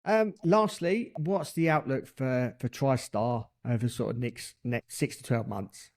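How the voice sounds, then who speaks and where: A man's voice: mid-range voice, unhurried, darkish tone. Man hosting, hesitant questions. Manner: hesitant, filler-led questions. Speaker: podcast host, interviewer. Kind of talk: asking interview questions.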